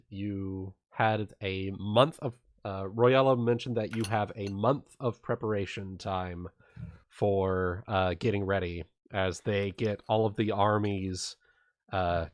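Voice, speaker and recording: Slow, low-voiced man running a game stream. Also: no room sound, studio quality. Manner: informal, swearing, halting explanations. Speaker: game master. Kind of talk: casual livestream tabletop game recap.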